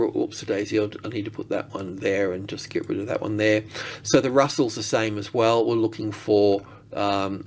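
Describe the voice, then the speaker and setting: Low-voiced man rambling through market commentary. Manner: rambling, thinking aloud, trailing off and rephrasing. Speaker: market analyst presenter. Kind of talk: casual screen-share trading commentary.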